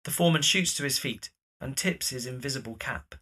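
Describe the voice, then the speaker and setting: A narrator reading scripted stage directions for an audio drama in a measured, descriptive way. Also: mid-range voice, bright, forceful tone, slightly rough.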